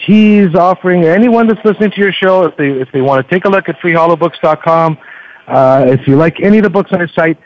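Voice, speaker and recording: A man who is a podcaster, chatting casually as an interview guest in a halting, affable way. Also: sits high. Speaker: podcaster guest plugging his show. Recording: muffled internet radio connection.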